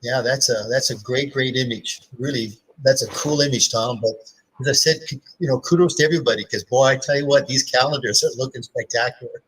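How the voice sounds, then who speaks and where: A man's voice: medium pitch, steady tone, fairly quick, fairly bright tone, husky, far from the mic, low-quality recording. A man co-hosting a live astronomy show, chatting casually and enthusiastically. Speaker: co-host. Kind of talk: casual live-stream chat.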